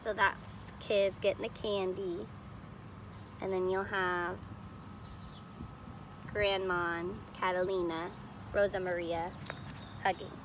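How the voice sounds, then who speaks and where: A woman's voice: mid-range voice, flat delivery, slow pace, long pauses, noisy background. A woman reading a picture book aloud expressively, stopping to explain the illustrations simply, as if to young children. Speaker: storybook reader.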